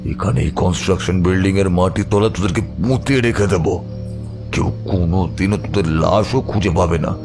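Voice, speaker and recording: An actor playing a ruthless, vengeful crime boss in a horror audio drama, with a mocking, menacing manner. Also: male voice, sits low, over music. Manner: menacing, mocking, drawn-out rhetorical questions.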